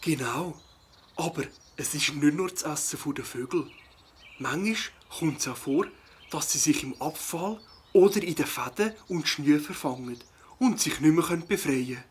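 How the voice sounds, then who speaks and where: A man's voice: fairly high pitch, normal speed, a little hiss or hum, lightly acted. A man playing a friendly, earnest ornithologist in a children's audio play, explaining patiently with a Swiss accent.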